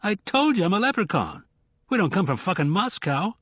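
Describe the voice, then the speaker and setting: A brash, cocky leprechaun, played by a man narrating an audiobook, slightly drunk and blunt. The voice is pushed higher and swings around, husky at the edges, on a close, slightly muffled recording. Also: very animated, brisk, fairly bright tone, no room sound, full character voice. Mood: indignant. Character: brash, foul-mouthed, tipsy bearded male leprechaun. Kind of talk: audiobook character acting.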